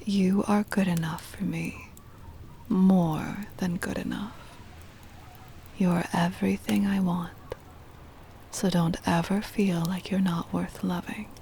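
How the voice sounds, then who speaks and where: A possessive, ancient vampire mistress acted by a woman whispers intimately in an ASMR roleplay. Her breathy voice is heavily laced with fry and soft, dark in tone. She coaxes with doting tenderness and quiet menace, over a noisy ambient bed. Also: on the low side. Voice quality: breathy whisper with creaky edges. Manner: slow, coaxing, possessive endearments.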